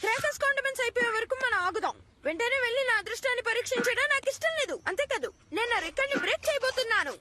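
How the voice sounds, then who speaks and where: A woman voicing an excitable, determined young boy hero in a dubbed children's cartoon, with dramatic, exclamatory delivery. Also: very high-pitched, very fast, roomy sound, far from the mic, heavy background noise. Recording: loud music behind the voice.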